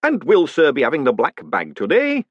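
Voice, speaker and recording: A man's voice: on the high side, huge pitch swings, normal speed, slightly rough, dry recording, lo-fi sound, voice pushed up, exaggerated voice. Man doing a cheery, chatty hangman. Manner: friendly, businesslike, calls everyone sir. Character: cheerful obliging male hangman.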